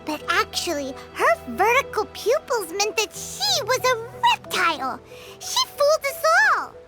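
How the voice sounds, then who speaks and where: A woman voicing a tiny, childlike girl companion in a video game, earnest and excitable with a squeaky voice. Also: a little slow, over loud music, exaggerated voice. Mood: matter-of-fact. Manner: refers to herself in third person. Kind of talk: video game character acting.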